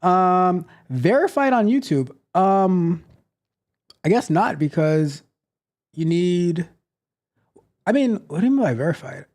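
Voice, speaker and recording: Guy in his 30s on a close boom mic at home, livestream rambling, jokey, keeps repeating himself. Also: very animated, slow pace, long pauses, a little mellow. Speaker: host, tech coach. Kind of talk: casual livestream chat. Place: home studio, seated in gaming chair. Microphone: boom mic close to mouth.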